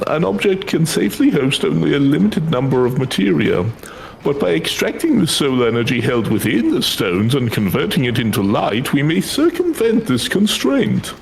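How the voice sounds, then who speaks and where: A man voicing an excitable, easily frustrated scholar in a fantasy game, with flustered and theatrical formal speech. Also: mid-range voice, gravelly, a little hiss or hum, low-quality recording. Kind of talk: fan read-aloud of fantasy game dialogue.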